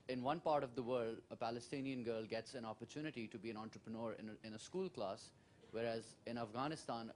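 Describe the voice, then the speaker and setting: A man's voice: mid-range voice, a little hiss or hum. A man from Pakistan, asking a panel a formal, polite question from the audience. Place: conference hall.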